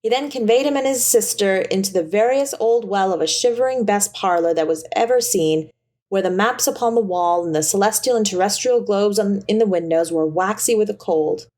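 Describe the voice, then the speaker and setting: A woman reading a scripted storybook as narrator, in an expressive, theatrical way. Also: mid-range voice, fairly quick. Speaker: audiobook narrator.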